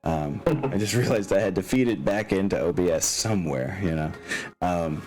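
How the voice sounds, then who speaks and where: A man's voice: low voice, animated, moderate pace, a little mellow. A man speaking casually as game master of a tabletop game, drawing out phrases for emphasis. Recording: music behind the voice. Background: background music.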